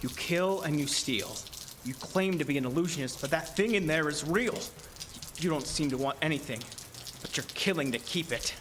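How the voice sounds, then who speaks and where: A man's voice: fairly high pitch, moderate pace, lightly acted. Man playing a troubled, defiant sculptor, rainy noise. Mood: angry, accusing. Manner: terse, confrontational. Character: troubled, suspicious male sculptor, stubbornly defiant. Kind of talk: horror audio drama acting.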